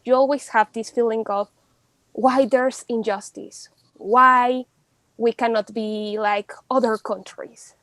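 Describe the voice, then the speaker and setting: Young woman, podcast guest, chatty, lots of "like", higher voice, dry sound. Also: fairly even intonation, slow pace, a bit bright, dry recording. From Mexico. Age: young adult. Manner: chatty, many fillers and restarts. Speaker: podcast guest, law student. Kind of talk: casual interview talk.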